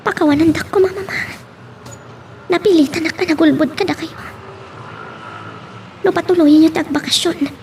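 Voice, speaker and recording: A woman's voice: very high voice, fairly even intonation, moderate pace, pauses often, darkish tone, a little hiss or hum. A woman playing a contrite young granddaughter who confides in her elders, in an Ilocano audio drama. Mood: apologetic. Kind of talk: Ilocano radio-style drama acting.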